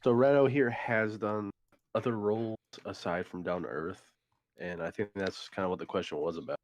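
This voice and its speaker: A man's voice: fairly low pitch, fairly even intonation, moderate pace, no echo. A man co-hosting a live podcast, speaking casually as he fills in details. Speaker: podcast co-host.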